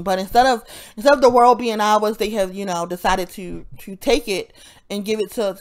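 A woman with a nasal, congested voice talking in a casual, rambling monologue, swearing often and breaking off into laughs. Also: mid-range voice. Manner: profane, rambling, frequent self-interrupting laughs. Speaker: vlogger.

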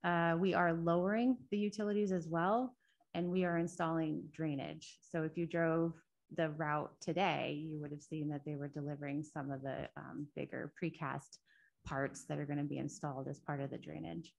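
A soft-spoken woman with a fairly low voice gives a project update at a city council meeting. She talks into a table microphone in the council chamber, pausing often with ums as she walks through her slides. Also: steady tone, creaky. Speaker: state transportation project manager. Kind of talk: public meeting presentation.

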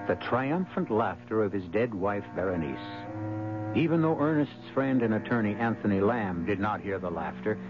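A man narrates a vintage radio mystery in a theatrical, deliberate style. His voice sits fairly low, with music playing behind it on a muffled broadcast recording. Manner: theatrical, deliberate rhetorical phrasing. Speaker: host and narrator. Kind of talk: dramatic scripted narration, 1970s radio.

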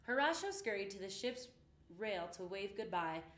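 A young woman reading a children's picture book aloud in an expressive storytelling way. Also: mid-range voice, moderate pace, a little hiss or hum. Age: young adult. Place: indoor room with painted rainbow backdrop. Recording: roomy, echoing indoor sound.

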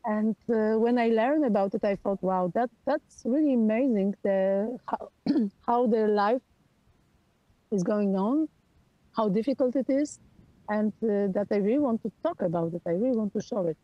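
A woman in her forties from Poland with a Polish accent, a film director talking over a video call from outdoors with an earphone mic, pausing often to search for words. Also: medium pitch, dry recording, muffled recording. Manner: halting, searching for words with many fillers. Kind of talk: remote interview. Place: outdoors on a hillside.

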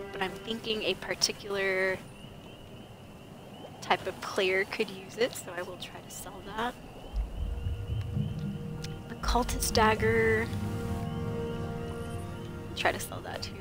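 A young woman streams a game from her desk at home, chatting and thinking aloud in a mid-pitched, easy voice. Soft music plays faintly behind her. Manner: chatty, thinking aloud while sorting loot. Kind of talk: casual gameplay commentary. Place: home gaming setup, indoors.

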